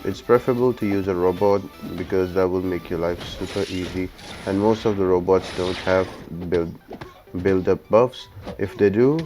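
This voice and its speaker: A man's voice: low-pitched, dark tone. A man giving explanatory gameplay tips as the host of a video game guide.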